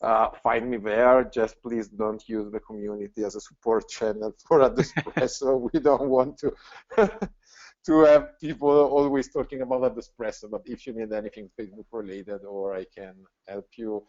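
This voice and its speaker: A man's voice: medium pitch, normal speed, darkish tone. A man from Italy with an Italian accent, presenting casually on a webinar in a frank, plain-spoken way. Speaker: guest presenter. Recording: dull, lo-fi webinar audio.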